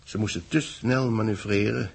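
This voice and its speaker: An actor playing a grave space-mission official in a 1960s radio play, speaking solemnly and deliberately in a husky, gravelly voice. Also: male voice, lowish voice, steady tone. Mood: grave, resigned.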